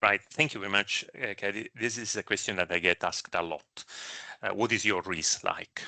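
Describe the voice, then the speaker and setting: A man speaking as a medical director in a live question-and-answer session, explaining in a measured, careful way with hesitations. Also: fairly low pitch, pushed and bright, dry recording.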